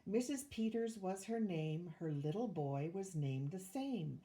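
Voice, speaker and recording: Older woman reading a storybook, unhurried. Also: lowish voice. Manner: measured, expressive storytelling. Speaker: volunteer storybook reader, retired teacher. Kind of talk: reading a rhyming children's picture book aloud.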